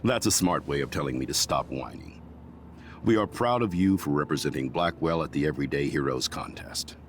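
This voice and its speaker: A man's voice: rumbling low, a little fast, slight background noise. A man voicing a weary middle-aged school principal in a video game, formal and dryly self-deprecating. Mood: formal, proud. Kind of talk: video game dialogue acting.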